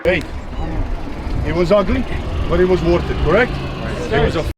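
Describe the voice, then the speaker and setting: A man's voice: sits high, animated, normal speed. A male coach giving an intense, rallying pep talk to his team outdoors. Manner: intense, rallying, leads chants. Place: outdoor sports field. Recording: noisy outdoor crowd and traffic. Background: crowd and vehicle noise.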